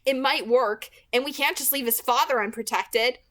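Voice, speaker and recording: A woman voicing a calm, reasonable young male vampire in an audiobook, measured and coaxing. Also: high voice, a little hiss or hum, pitched above the performer's own voice. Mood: reasoning. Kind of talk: dramatic audiobook character reading.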